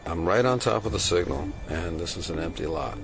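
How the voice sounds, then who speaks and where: A man's voice: low-pitched, brisk, creaky, music in the background. An actor playing a wry, dogged male FBI investigator in an audio drama, with a dry, wisecracking manner. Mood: focused.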